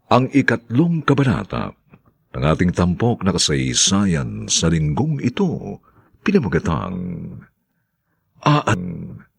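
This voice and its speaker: Slow, gravelly man announcing a drama. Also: sits low. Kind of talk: formal scripted radio drama introduction.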